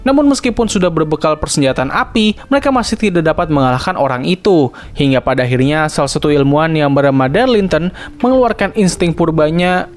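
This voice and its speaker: A young man narrating a cartoon episode recap in a scripted way, with casual slangy asides. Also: a little high. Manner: slangy informal asides between recap lines.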